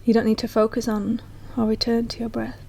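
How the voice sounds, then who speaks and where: A woman narrating a guided meditation in a soft, slow, soothing manner, as a guide speaking directly to the listener. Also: fairly high pitch, monotone, vocal fry.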